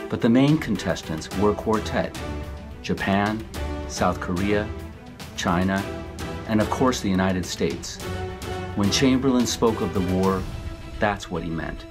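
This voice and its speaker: Low-voiced man narrating over music. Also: a little slow, pauses often. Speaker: author narrating his book trailer. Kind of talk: scripted narration. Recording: music bed under the voice.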